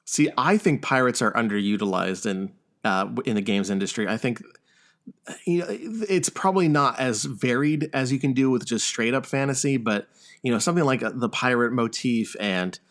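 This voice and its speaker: A man's voice: mid-range voice, normal speed, fairly bright tone. A man hosting a gaming podcast, talking casually and running through news items. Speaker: podcast host.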